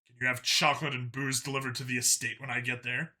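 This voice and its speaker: A man's voice: mid-range voice, level tone, fast, pushed and bright. A man voicing a hard, curt human vigilante cop in a science fiction audiobook. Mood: terse.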